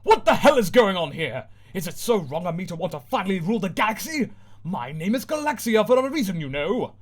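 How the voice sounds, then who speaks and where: A man's voice: medium pitch, sing-song, moderate pace, fairly bright tone. A man voicing a pompous, huffy female villain in a parody story reading. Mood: exasperated. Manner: huffy, self-important.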